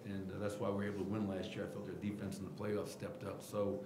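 A middle-aged man, a basketball head coach, answering reporters' questions at a post-game press conference podium with a table mic, candid and animated. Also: sits low, fast talker, echoey room, background noise. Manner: candid, animated, gestures while explaining. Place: press room at team podium. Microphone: table mic in front of him.

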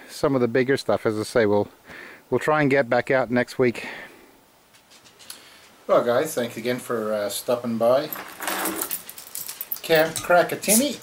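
A middle-aged Australian man giving a rambling, relaxed walking tour outdoors in the woods, full of fillers and restarts. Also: medium pitch. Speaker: channel host. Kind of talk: casual walking tour vlog. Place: outdoors in rural woodland.

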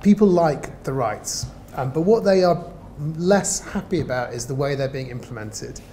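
Brief: male panelist, fairly high but mellow voice, presenting in a seminar with slight room noise. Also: lively intonation, normal speed. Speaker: panel speaker. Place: indoor seminar room, seated at panel table.

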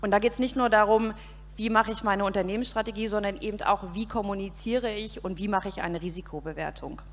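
A woman's voice: mid-range voice, fairly quick. A woman in her forties giving a composed, structured talk on a conference panel with a handheld mic on an outdoor event stage. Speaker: panel speaker, consultancy partner. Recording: reverberant PA sound on a stage.

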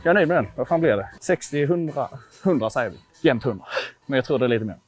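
A man's voice: medium pitch, lively intonation. Casual young man vlogging over music. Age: 20s. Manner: self-deprecating, informal, sweary. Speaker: vlogger testing his lifts at a gym. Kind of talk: casual vlog chat to viewers. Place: indoor gym.

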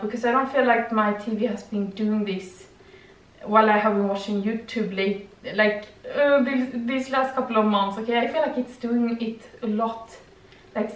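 A woman's voice: a little high, a bit bright, recorded from a distance. A young woman, chatting casually and rambling while she does yoga in her living room. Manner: rambling, chatty, frequent "okay?" tags. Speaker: vlogger. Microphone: far camera. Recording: echoey room, voice from afar.

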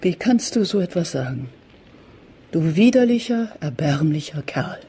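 A middle-aged mother in a radio drama, bitter and commanding. Her voice is dark and husky, and she questions like an interrogator, pressing out accusations. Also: sits low, big pitch swings, unhurried, full character voice. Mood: bitter, outraged. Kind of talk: German radio drama acting.

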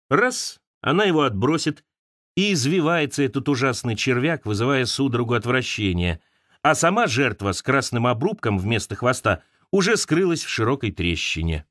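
Expressive male audiobook narrator, very dry sound. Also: mid-range voice, dry recording. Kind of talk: expressive literary essay narration. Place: close, dry studio recording.

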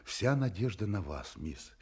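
Radio drama private eye: low-voiced, swooping delivery, polite, persistent, hesitant. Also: male voice, big pitch swings, breathy edge, heavily acted. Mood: courteous, urgent. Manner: courteous, pleading, halting phrases. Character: polite, insistent male private detective, hesitant and wry. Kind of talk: Soviet-style radio play acting.

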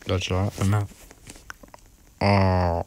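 Low-voiced man mumbling through gameplay. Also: little pitch movement, extremely slow, darkish tone. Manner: mumbling, rambling commentary with sudden exclamations. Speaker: gaming channel host. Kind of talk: casual gameplay commentary. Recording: heavy background noise.

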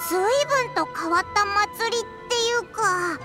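A woman voicing a small, excitable, cheeky childlike fairy in a Japanese anime game, with blunt boyish speech. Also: very high-pitched, unhurried, music in the background. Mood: amazed, curious.

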